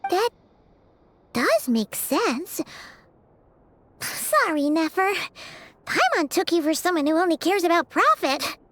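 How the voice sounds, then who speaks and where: Game casting: small blunt fairy mascot, piercingly high childlike squeak, very swoopy delivery, exclamatory. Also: female voice, sing-song, takes it slowly, long pauses, fairly bright tone, no echo, heavily acted. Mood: curious. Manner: squeaky, exclamatory, refers to self in third person. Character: tiny childlike floating fairy companion, excitable and blunt. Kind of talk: video game cutscene acting. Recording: background music behind voice.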